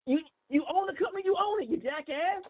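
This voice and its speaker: Man, phone-line sound, impassioned call-in panelist, emphatic, halting repeated restarts. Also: very high voice, expressive, slight background noise. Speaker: call-in talk show panelist. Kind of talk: impassioned discussion. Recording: tinny phone conference line.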